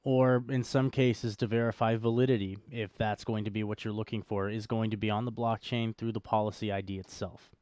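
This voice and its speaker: Male co-host, casual explainer. Clean, resonant tone, mid pitch, very dry sound. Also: level tone, fairly quick, hardly any pauses, darkish tone, clean tone, no echo. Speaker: co-host of a crypto show. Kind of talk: casual explanatory livestream talk.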